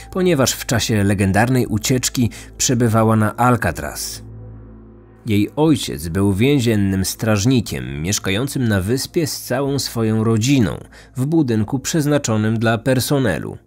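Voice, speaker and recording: Male podcast host, lowish voice, scripted true-crime narration, serious, measured, music underneath. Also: darkish tone.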